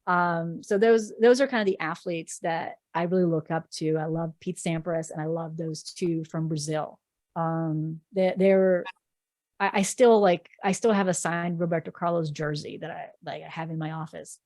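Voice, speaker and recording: Chatty low-voiced woman, Portuguese native. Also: steady tone, normal speed, darkish tone, dry recording. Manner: chatty and rambling, frequent ums. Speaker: sports reporter guest. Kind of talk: casual podcast interview.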